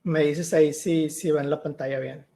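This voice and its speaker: A middle-aged man from Mexico, giving a tech talk on a video call, relaxed and full of "eh" fillers. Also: fairly high pitch, moderate pace. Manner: relaxed, with many "eh" and "ah" fillers and restarts. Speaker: guest speaker, solution architect. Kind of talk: online tech meetup presentation.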